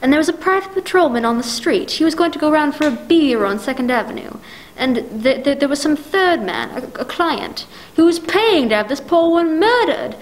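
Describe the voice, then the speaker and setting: A woman plays a fretful, self-pitying invalid wife in a 1940s-style radio play. Her voice is very high, and she stammers, then turns indignant and demanding, sure that nobody is listening. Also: lively intonation, moderate pace, slight background noise, rough recording. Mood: agitated, pleading. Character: anxious, imperious, self-pitying bedridden married woman.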